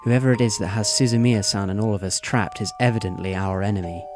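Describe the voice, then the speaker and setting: A man playing a composed, analytical teenage schoolboy in an audio drama, speaking in a formal, measured, wordy way. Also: on the low side, fairly even intonation, over music, close to an everyday voice. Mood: earnest, resolute.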